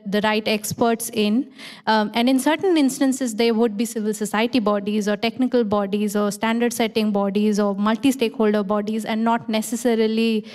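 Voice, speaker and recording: A woman with a somewhat high voice speaks in a measured, thoughtful way as a conference panelist. She often stops to rephrase herself. Her answer rings with the long reverb of a large hall. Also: a little mellow. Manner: measured, self-correcting, thoughtful. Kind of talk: academic Q&A answer.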